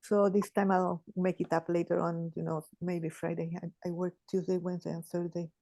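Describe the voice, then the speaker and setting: A woman, sharing in a group call in a halting, thoughtful way with many restarts. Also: lowish voice, little pitch movement, vocal fry, dark tone, dry recording. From Chile. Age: 80s. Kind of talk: casual sharing on a video call.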